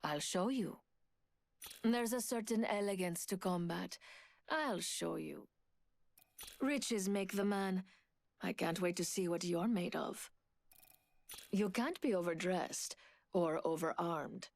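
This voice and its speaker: An actress playing a poised, confident, seductive thief in a video game, with cool, teasing delivery. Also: female voice, on the low side, slow, creaky, long pauses, no room sound. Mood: cool challenge. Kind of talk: video game character voice lines.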